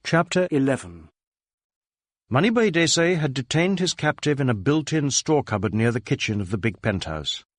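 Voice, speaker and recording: A man narrates a crime novel in a scripted, dramatic style. His medium-pitched voice moves through a lively range of intonation, recorded very dry with no room sound. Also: moderate pace, no room sound. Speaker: audiobook narrator.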